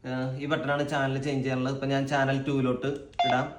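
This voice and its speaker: Man, Malayali accent, mid-pitched voice, echoey room, faint noise, casual vlog commentary, thinking aloud. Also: completely flat delivery, fast talker. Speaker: vlog host.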